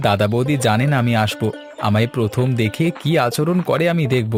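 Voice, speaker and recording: A man's voice: mid-range voice, fairly quick, close to an everyday voice. A man playing an affectionate, sentimental young man coming home to his village in a cartoon, over music. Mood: nostalgic. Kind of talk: cartoon monologue and dialogue.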